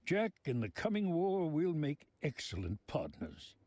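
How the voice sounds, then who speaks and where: An actor playing a genteel, sly old mob boss in a video game, speaking in florid, deliberate phrases. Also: male voice, a little high, huge pitch swings, a little mellow, dry recording, full character voice. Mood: grandly knowing. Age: older.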